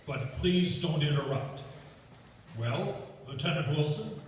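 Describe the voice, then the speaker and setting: A man's voice: fairly high pitch, expressive, slow pace. A man playing a firm, formal presiding officer at a court martial in a radio drama. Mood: firm, commanding. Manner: formal, commanding. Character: firm, authoritative presiding military judge. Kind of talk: stage radio drama acting. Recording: echoey hall from far off, faint noise.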